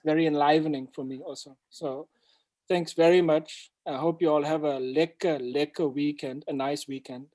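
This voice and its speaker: Mellow man, reflective and halting. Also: a little high, steady tone. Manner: reflective, halting with repeated words. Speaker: host and storyteller. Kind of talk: casual video-call chat. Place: indoors on a video call.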